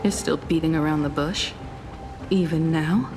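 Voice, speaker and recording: An actress playing a solemn, defiant woman in a video game, speaking in a measured, grave, accusing way. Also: sits low, big-room sound, over music. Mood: cold, accusing.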